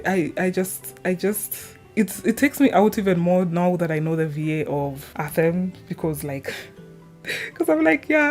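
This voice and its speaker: Low-voiced young woman chatting over music. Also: dark tone. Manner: informal, chatty, trailing tangents. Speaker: gaming video creator. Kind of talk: casual rambling opinion chat.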